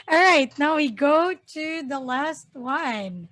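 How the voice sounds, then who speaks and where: Slow-talking woman host from the Philippines. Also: high-pitched, lively intonation, a bit bright, dry recording. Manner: playful, slow and deliberate. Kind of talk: casual chat, quiz questions.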